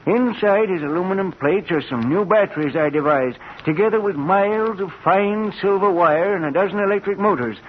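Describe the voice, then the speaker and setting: An actor playing a frail, kindly old inventor professor in a vintage radio drama, with an eager, avuncular manner. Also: male voice, high voice, a little fast, hardly any pauses, lightly acted. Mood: proud. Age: older. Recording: muffled, hissy old radio recording.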